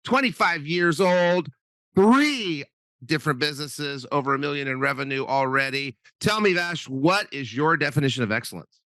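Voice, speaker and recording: A man from the United States hosting a podcast interview, upbeat and joking, talking in quick bursts. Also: a little high, a bit bright, no echo, rough recording. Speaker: podcast host.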